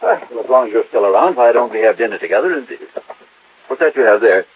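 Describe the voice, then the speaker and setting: An actor playing a nervous, evasive scientist villain with a husky voice and formal, stammering speech in an old radio drama. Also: male voice, mid-range voice, lively intonation, fast. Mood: cordial, guarded. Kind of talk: old-time radio acting. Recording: thin, narrow vintage radio recording.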